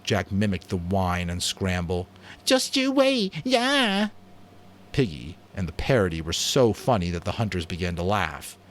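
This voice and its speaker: Deep-voiced man reading a story dramatically. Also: normal speed, few pauses. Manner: expressive, theatrical. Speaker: narrator.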